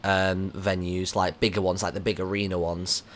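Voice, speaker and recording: A man's voice: deep voice, level tone, moderate pace, slight background noise. A man with an English accent interviewing in a casual, chatty and teasing way on radio.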